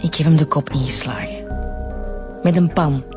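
An actress with a Flemish accent playing a stubborn, guarded daughter under questioning in a radio drama. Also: female voice, low voice, moderate pace, over music, close to an everyday voice. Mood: stubborn, bitter. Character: murdered doctor's evasive, stubborn daughter.

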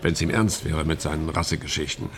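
A man playing a nervous, slyly satirical actor in a German radio drama, with a gravelly voice and a halting, confiding manner. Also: rumbling low, steady tone, fairly bright tone. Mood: sardonic. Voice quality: very gravelly, creaky.

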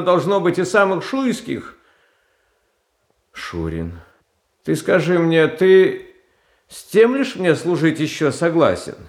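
An actor playing a gentle, naive, frail Tsar in a radio stage tragedy, speaking in a plaintive, hesitant way. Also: male voice, fairly high pitch. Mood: pleading indignation. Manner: halting, plaintive, hesitant phrasing. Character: gentle, naive, frail, pious Tsar, soft-hearted and pleading. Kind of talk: classical Russian stage tragedy in radio adaptation. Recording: roomy, echoing stage sound.

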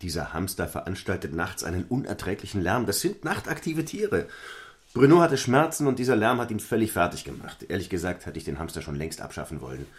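An actor playing a plain-spoken, outwardly agreeable husband who runs a wholesale business, talking conversationally and emphatically in a naturalistic stage play. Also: male voice, low-pitched, sing-song, fairly quick. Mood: defensive.

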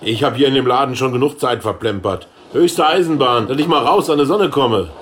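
An actor playing a blunt older factory boss in a satirical radio play, colloquial and dryly wry. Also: male voice, medium pitch, fairly quick, background noise, lightly acted. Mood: restless.

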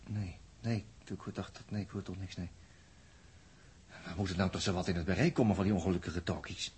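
An actor playing a gruff, impatient, hot-tempered crewman in a 1960s radio drama, blunt and grumbling. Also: low voice, fairly even intonation, fairly quick, darkish tone, slight background noise, lightly acted. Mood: restless, grumbling.

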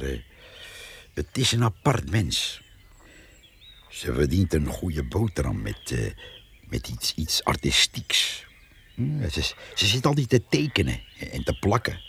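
A man's voice: a bass voice, husky, full character voice. An actor playing a folksy old rural odd-job man and poacher who rambles and chuckles in a radio drama monologue. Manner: rambling, hesitant, chuckling musings.

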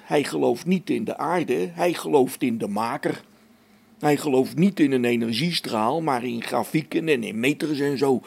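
A man's voice: on the high side, vocal fry, darkish tone, husky. A man playing an irritable, sardonic space station engineer in a radio play, emphatic and impatient. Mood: exasperated, sardonic.

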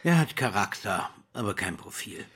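An older school principal in a German radio drama. His voice is fairly low and very husky. He speaks with formal, oily courtesy, pausing weightily as he flatters and schemes. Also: heavily acted. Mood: solemn, insistent. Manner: formal, unctuous, measured with weighty pauses.

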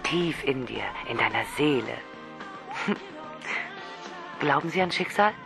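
A woman's voice: very deep voice, lively intonation, slow, gravelly, over music, heavily acted. An actress playing a lonely, shy woman who calls a man on the phone, tipsy, nervous and obsessive, often whispering. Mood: confiding. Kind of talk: radio drama acting.

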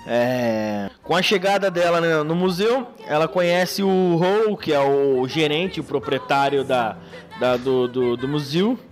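Man, casual podcast chat, rambling, restarts, slightly high pitch, faint music. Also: a little slow. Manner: loose, rambling recaps with many restarts. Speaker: podcast host.

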